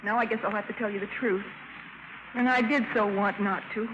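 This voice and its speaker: A woman's voice: medium pitch, fairly even intonation, a little fast, highs cut off, natural voice. A woman playing a coquettish, evasive woman of intrigue in a 1950s radio drama, playful and pleading. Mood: coy, evasive. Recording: noisy vintage 1950s radio recording.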